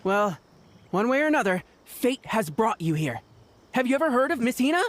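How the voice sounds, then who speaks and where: High-voiced devoted idol fan. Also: female voice, sing-song, moderate pace. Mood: reverent. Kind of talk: English video game voice acting. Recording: music under the voice. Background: game music.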